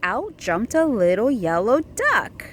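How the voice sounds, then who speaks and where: A woman reading a picture book aloud to a child in an expressive, engaging way, with questions for the listener. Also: on the high side, slow pace.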